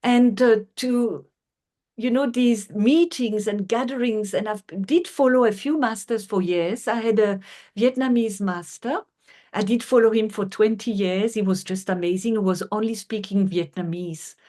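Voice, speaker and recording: A middle-aged woman from France, speaking English with a French accent as an interview guest, earnest and unhurried with frequent fillers. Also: medium pitch, dark tone. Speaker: guest, healer. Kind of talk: conversational interview.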